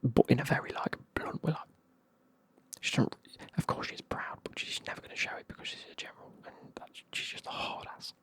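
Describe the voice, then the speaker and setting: A person with a British accent whispering a casual, chatty, sweary retelling of a book in a breathy ASMR style. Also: a little fast, fairly bright tone.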